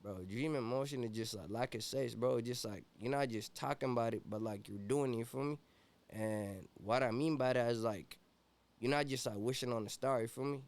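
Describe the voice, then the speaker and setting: A man's voice: mid-range voice, brisk. A young man from Ethiopia, a rapper guest in a casual interview, talking laid-back and slangy with constant "you feel me" fillers.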